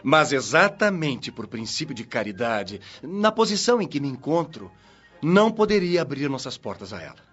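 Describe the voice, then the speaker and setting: A man's voice: mid-range voice, very animated, normal speed, fairly bright tone, dull audio, faint music behind. A man playing a stern, righteous chief watchman in a Brazilian radio drama, firm, declamatory and moralizing, with a Brazilian accent. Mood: solemn, moralizing.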